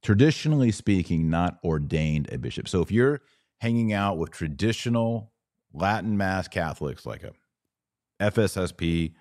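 Deep-voiced man teaching in a live Q&A. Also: lively intonation, moderate pace, a little mellow, no room sound, clean studio sound. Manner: didactic, explanatory, asks and answers own questions. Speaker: podcast host answering viewer questions. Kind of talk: live Q&A, informal religious teaching.

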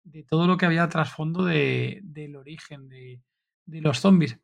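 Spanish-accented man, fairly high voice, strong vocal fry, podcast host, casual rambling questions. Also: normal speed. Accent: Spain. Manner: rambling questions with frequent tag questions. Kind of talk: casual interview chat.

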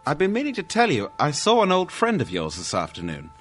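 An actor playing a kindly, affectionate reverend and husband in a radio drama, genial and tender. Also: male voice, a little high, huge pitch swings, a little fast, fairly bright tone, faint music behind.

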